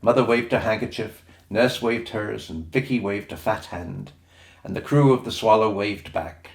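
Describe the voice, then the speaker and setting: A man's voice: lowish voice, normal speed. A man reading a children's story aloud as an audiobook narrator, in scripted storybook style. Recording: roomy echoing sound.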